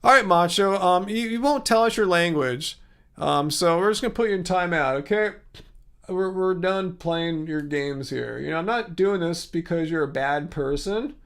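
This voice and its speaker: Host, male, middle-aged, high-pitched, rambling casual chat reading comments. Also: normal speed, a bit bright, clear, resonant tone. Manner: chatty, rambling, many fillers and restarts. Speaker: livestream host answering chat.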